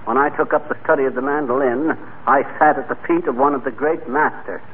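A man's voice: medium pitch, fairly even intonation, fairly quick, lightly acted. A man playing a cocky, stubborn, folksy husband in old-time radio comedy, slangy and full of wisecracks. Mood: boastful. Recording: tinny, noisy vintage radio recording.

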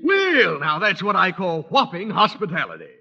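Creaky, jovial old codger. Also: male voice, high voice, sing-song, normal speed, exaggerated voice. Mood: hearty. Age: older. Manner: folksy, hearty joking. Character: jovial old codger father fond of corny jokes. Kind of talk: 1940s-50s radio sitcom acting. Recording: noisy, muffled vintage radio recording with clicks.

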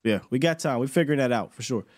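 A man's voice: mid-range voice, lively intonation, racing, darkish tone. A man hosting a sports call-in show, a bit nasal from a cold, talking in a slangy, confident way. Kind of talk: casual sports talk with callers.

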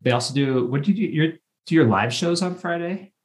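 A man's voice: fairly high pitch, fairly quick. A man hosting a podcast in a casual, chatty fan-discussion style. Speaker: podcast host.

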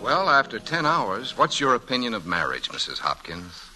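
An actor playing a cheerful, gallant young officer in love in an old-time radio drama, teasing and reassuring. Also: male voice, mid-range voice, big pitch swings, normal speed, over music, natural voice, rough recording. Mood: teasing, fond.

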